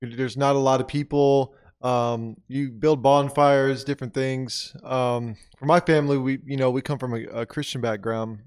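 A man from the USA co-hosts a podcast in a smooth, clear voice at a middle pitch. He talks loosely and casually, filling his sentences with "um" and "you know". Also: moderate pace, hardly any pauses. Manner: relaxed, filler-heavy, lots of "you know" and "um". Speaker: podcast co-host.

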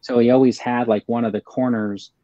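A man telling anecdotes in casual conversation, hesitant and halting, on a slightly dull lo-fi recording. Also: mid-range voice, steady tone, mellow, dry recording. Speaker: panelist on a fan livestream.

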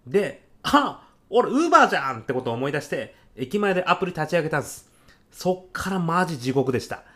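Man playing an overzealous, high-voiced young salesman-courier. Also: big pitch swings, fairly quick, a bit bright. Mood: earnest, excited. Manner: eager, casual-polite salaryman speech. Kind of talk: comic radio drama acting.

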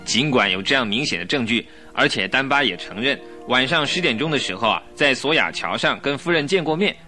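A man's voice: mid-range voice, animated, a little fast, pushed and bright, faint music behind. An actor playing a cocky, insistent police inspector in a radio drama, emphatic and pressing as he questions. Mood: exasperated, insistent. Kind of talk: Chinese radio drama acting.

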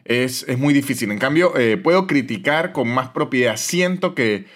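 A man in his thirties from Venezuela with a Venezuelan accent, hosting a casual solo podcast and reading ads in a chatty, animated way. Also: medium pitch, moderate pace. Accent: Venezuelan Spanish. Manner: chatty, animated, swings between loud and soft. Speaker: podcast host.

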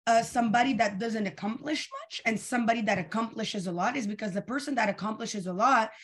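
Energetic woman coaching with a tired voice. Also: fairly high pitch, quick pace, slightly rough. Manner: energetic, asks the audience questions. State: tired, slightly worn voice. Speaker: coach leading a weekly training call. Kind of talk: live online coaching session.

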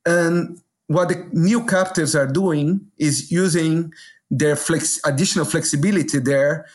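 Higher-voiced middle-aged Brazilian businessman. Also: measured pace. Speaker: business executive, podcast guest. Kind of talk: interview answers.

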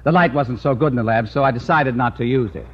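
An actor playing a blunt, self-assured magazine photographer in an old radio comedy. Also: male voice, medium pitch, quick pace, husky, natural voice. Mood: businesslike. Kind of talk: 1940s-50s radio comedy acting. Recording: muffled, noisy old radio recording.

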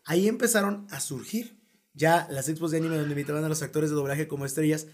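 Mexican guy talking shop on a podcast, lively slangy explainer, kinda high voice. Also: quick pace. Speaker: podcast co-host. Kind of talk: casual chat.

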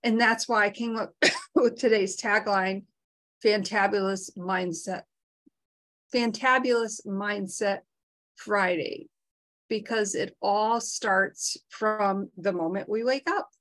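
A woman's voice: medium pitch, steady tone, takes it slowly, fairly bright tone. Frank, down-to-earth woman co-host. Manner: frank, down-to-earth, self-deprecating. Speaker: co-host of a live talk show. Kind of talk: casual motivational chat. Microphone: video call.

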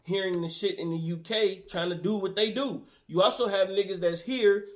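A man's voice: high-pitched, moderate pace. A man in casual, profane conversation, arguing his point with laughs and repeated restarts. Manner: profane, argumentative, laughs often. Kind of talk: casual debate chat.

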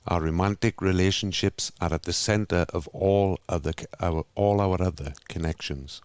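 A Welsh man hosting a video call meetup, reading a steady welcome with small slips. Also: low-pitched, moderate pace, clean tone, no echo. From Wales. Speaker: host.

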